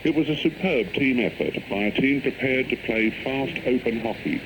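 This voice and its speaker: A man's voice: mid-range voice, normal speed, background noise. A man reading a scripted radio sports report with florid phrasing, on a shortwave broadcast.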